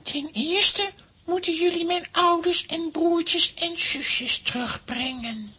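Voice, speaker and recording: A serious young child in a children's audio play. The voice is high and piercingly bright, with slow, earnest, deliberate phrasing. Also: female voice, full character voice. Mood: demanding.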